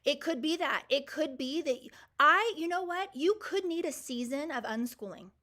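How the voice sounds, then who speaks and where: A woman's voice: high-pitched, expressive, moderate pace, pushed and bright. A woman in her forties hosting a live Q&A, answering viewer comments in an enthusiastic, emphatic and reassuring way. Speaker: host.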